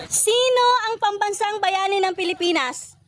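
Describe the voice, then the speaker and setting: A woman with a Filipino accent playfully hosting a quiz, putting questions loudly to contestants. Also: very high voice, normal speed, some chatter behind, a little hiss or hum. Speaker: quiz host. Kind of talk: playful quizzing in a comedy video.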